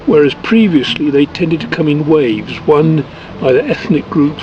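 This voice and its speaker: A man from England giving thoughtful, measured interview answers, sometimes restarting a phrase. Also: a little high, animated, dull audio, background noise.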